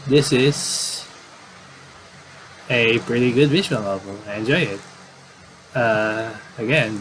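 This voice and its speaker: A man doing casual game commentary and reading aloud, in a halting, rambling way with repeated words. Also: mid-range voice, noisy background.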